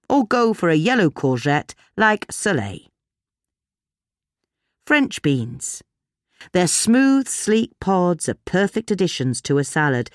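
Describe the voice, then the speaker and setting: Lady reading a gardening article, lowish voice, really varied intonation, dry sound. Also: dry recording. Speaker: article reader. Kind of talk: scripted gardening narration.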